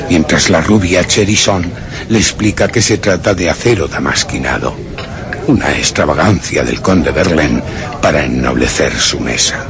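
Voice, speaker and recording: An embittered, vengeful gentleman in a suspense radio drama. His low voice swings expressively as he broods with deliberate, theatrical contempt. A murmuring crowd sits behind him. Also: heavily acted. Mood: contemptuous, watchful. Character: embittered, vengeful cuckolded Frenchman, secretly plotting murder. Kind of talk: dramatic first-person radio play monologue.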